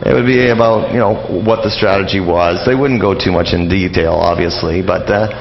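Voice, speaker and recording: A middle-aged man, explaining things as a guest in a casual radio interview, halting and rambling as he goes. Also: deep voice, steady tone, gravelly, lo-fi sound, slight background noise. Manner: halting, rambling explanations peppered with "you know" and "like".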